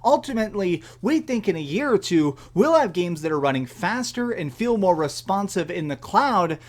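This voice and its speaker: A man's voice: high voice, big pitch swings, normal speed. A man in a gaming commentary video, speaking in a downbeat, earnest way and reading out quotes. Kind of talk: video commentary clip played in a reaction.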